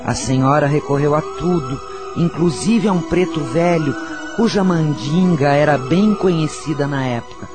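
Brazilian radio drama role: wise, grave spiritual guide played by a man, fairly high male voice, measured preachy storytelling, soft music behind. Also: close to an everyday voice. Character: solemn, wise spiritual counsellor telling of past lives. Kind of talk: radio soap opera acting, storytelling.

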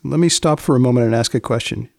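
A man's voice: medium pitch, fast talker, gravelly, no room sound, studio quality. A man hosting a podcast in a wry, mock-formal way. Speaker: host.

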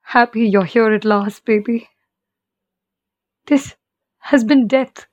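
A woman's voice: a little high, fairly even intonation, takes it slowly, darkish tone, no echo, highs cut off. A woman acting a distraught, pleading young wife confined in a sanatorium, in an audio play. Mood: weary relief. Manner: pleading, emotional.

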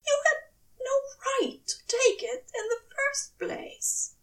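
A woman's voice: extremely high pitch, takes it slowly, bright, forceful tone, recorded from a distance, pitched above the performer's own voice, heavily acted, rough recording. A woman voicing a prim, indignant and hurt male angel in a dramatic audio story. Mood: grudging, annoyed. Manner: prissy, indignant, old-fashioned turns of phrase.

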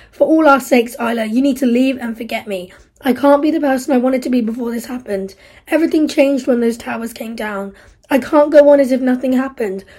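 A woman's voice: fairly high pitch, warm tone, breathy edge. Woman reading as an anguished, furious young man. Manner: anguished, bitter, raised voice. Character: grief-stricken angry young man, survivor.

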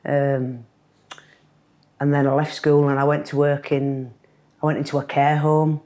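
A woman's voice: deep voice, flat delivery, measured pace, warm tone. A middle-aged woman from Leeds with a Yorkshire accent, a podcast guest recalling her childhood in a chatty, laughing way. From Leeds, England. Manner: chatty storyteller, laughs often. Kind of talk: casual podcast interview.

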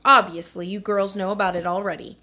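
A woman's voice: medium pitch, steady tone, fairly quick, bright, forceful tone. A woman voicing an earnest young boy who speaks in a matter-of-fact, informative way.

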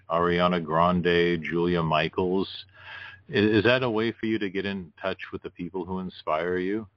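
Deep-voiced man interviewing, dry sound. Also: no room sound, highs cut off. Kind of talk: conversational music interview.

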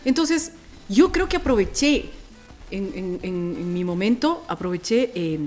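An Ecuadorian woman with a deep, strong voice talks like a radio presenter in a relaxed podcast chat. She tells things colloquially and with animation. Music plays behind her. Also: a little slow. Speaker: radio presenter being interviewed.

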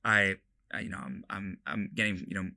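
Young guy, american accent w/ bit of british, lowish voice but super crisp and sharp, rattles along casually. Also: normal speed. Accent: American with slight British traces. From London, England. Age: young adult. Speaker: college football player, interview guest. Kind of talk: casual chat.

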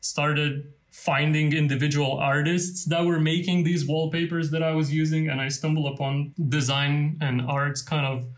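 A man's voice: fairly high pitch, flat delivery, normal speed, few pauses. Young man, casual and rambling. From Lithuania. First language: Lithuanian. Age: 20s. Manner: casual, rambling with many fillers and light laughs. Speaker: freelance designer interviewee. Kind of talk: documentary interview.

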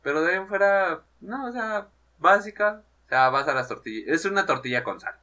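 Brief: young man, wisecracking slangy co-host, lively pitch. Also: fairly quick. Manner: joking, slangy and blunt. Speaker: co-host of a beer tasting show. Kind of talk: casual chat.

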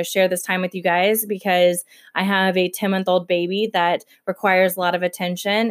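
Woman podcast host, thirties, from kentucky and detroit, even delivery, lots of ums and easy laughs. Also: fairly low pitch. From Kentucky, raised also in Detroit. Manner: self-described monotone, chatty with frequent fillers and laughs. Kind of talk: casual podcast chat.

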